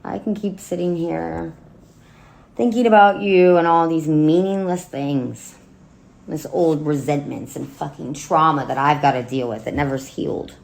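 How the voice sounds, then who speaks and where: A woman acting a wounded, bitter grown daughter in a dramatic monologue, speaking haltingly and emotionally with a gravelly voice. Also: low-pitched, darkish tone, rough recording. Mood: wounded, resentful. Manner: halting, emotional, with wry laughs and swearing. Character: bitter, wounded grown daughter addressing her dead mother. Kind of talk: dramatic monologue cold read.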